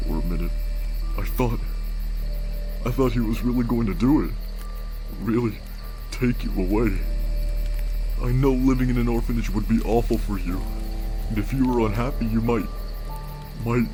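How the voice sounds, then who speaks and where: A man voicing a weary, wisecracking skeleton big brother in a comic dub, casual and halting, with a tender, secretly anguished streak. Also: lowish voice, natural voice, poor audio. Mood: gentle, serious. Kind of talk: comic dub character acting. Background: background music.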